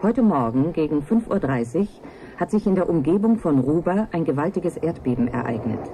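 An actress playing a sober news announcer, reading a bulletin in a formal, precise, factual manner in a radio play. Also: female voice, sits low, lively intonation, moderate pace, dark tone, a little hiss or hum, low-quality recording. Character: sober female news announcer reporting a disaster.

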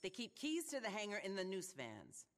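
A stern middle-aged American government agent in a game cutscene. She is dry and commanding with animated pitch and a long reverb around her. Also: normal speed. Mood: curt, exasperated. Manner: curt, authoritative, dry. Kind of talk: video game cutscene acting.

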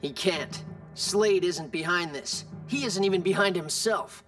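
A man's voice: high voice, measured pace, a bit bright, distant mic, soft background music. An actor playing a serious, intense teenage boy superhero leader in a video game, terse and commanding. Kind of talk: video game cutscene acting.

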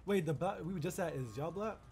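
A man's voice: on the high side, animated, quick pace, creaky, a little mellow. A man bantering casually in street slang during a game roleplay, repeating short questions and commands. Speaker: game streamer, driver in roleplay. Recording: noisy game chat with car sounds.

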